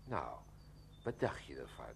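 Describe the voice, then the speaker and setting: An actor playing a pompous, pushy stranger in a Dutch radio play, stammering, repeating himself and talking floridly. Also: male voice, very deep voice, big pitch swings, a little slow, a bit bright, a little hiss or hum, exaggerated voice. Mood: wheedling. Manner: stammering, repetitive, florid and insistent.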